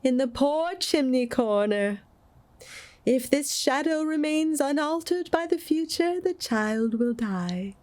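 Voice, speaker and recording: A stern storybook spirit played by a woman speaks in raised, ringing tones. The delivery is slow, grave and declamatory, each phrase weighed as a solemn rebuke. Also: high-pitched, lively intonation, a little mellow, voice pushed up.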